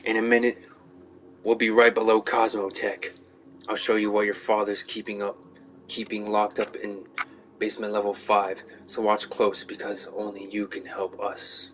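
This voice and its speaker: A man's voice: lowish voice, little pitch movement, moderate pace, lightly acted. A man voicing a secretive tattooed informant who mutters urgently in a story reading. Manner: muttering, urgent, plain-spoken. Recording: clearly noisy recording.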